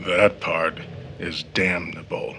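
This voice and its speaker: A reserved young gentleman in an audio drama. His voice is fairly low and bright, his lines terse and understated, over faint background noise. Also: level tone, takes it slowly, exaggerated voice. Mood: understated, dry. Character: John, reserved, easygoing young gentleman visitor. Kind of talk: stage play audio drama acting.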